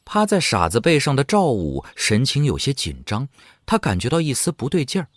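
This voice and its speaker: A man's voice: mid-range voice, wildly swooping pitch, brisk, dry recording, studio quality. A man giving dramatic scripted narration for an audio drama. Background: faint music.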